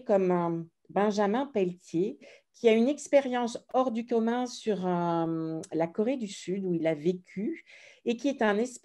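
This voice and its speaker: A woman from France answering interview questions as a columnist, thoughtful and pausing often to find her words. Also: medium pitch, dark tone. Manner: thoughtful, with frequent hesitations.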